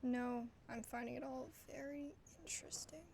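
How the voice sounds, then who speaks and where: A woman doing a casual game playthrough, reading dialogue aloud and reacting in soft, hesitant asides. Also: sits high, little pitch movement, moderate pace, vocal fry, a little hiss or hum. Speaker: gamer playing along.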